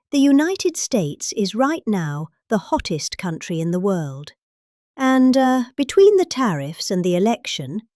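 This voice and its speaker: A middle-aged woman with a British accent reads a political speech in a theatrical, comic style. Her pitch moves around in a very lively way, with deliberately overdone stresses. The sound is dry, with no room around it. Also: no room sound. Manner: exaggerated emphases, deliberate word stresses. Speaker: reader.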